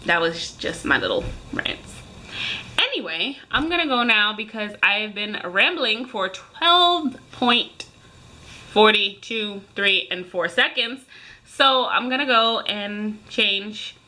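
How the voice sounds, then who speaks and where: A woman's voice: medium pitch, sharp tone, low-quality recording. A woman in her thirties speaking casually and emphatically to viewers, like a vlogger airing a rant. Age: 30s. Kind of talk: casual ranting chat to viewers.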